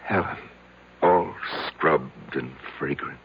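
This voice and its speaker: An actor playing a self-loathing middle-aged recluse who confesses haltingly, in 1970s radio drama style. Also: male voice, a bass voice, vocal fry, slightly rough, exaggerated voice. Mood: wounded, haunted. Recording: muffled old radio drama recording.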